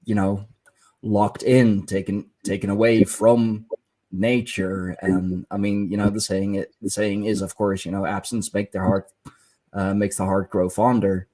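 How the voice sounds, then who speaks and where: A podcast host, speaking in a halting way and searching for words. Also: male voice, fairly low pitch, level tone, dark tone, poor audio. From Netherlands. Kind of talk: casual interview chat.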